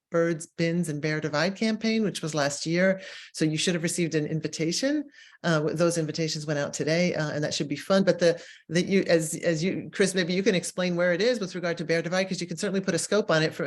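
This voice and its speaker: A woman co-hosting a meeting, talking hesitantly with many fillers on a video call. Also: lowish voice. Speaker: meeting co-host. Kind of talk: casual chat on a video call.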